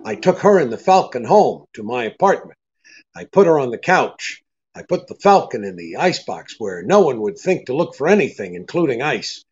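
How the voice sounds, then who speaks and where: An actor playing a tough, wry private detective, hard-boiled and wisecracking, in a 1940s-style radio drama. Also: male voice, medium pitch, big pitch swings, moderate pace, a little mellow, slightly rough, muffled recording. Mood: wry narrating. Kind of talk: 1940s radio drama acting with first-person narration.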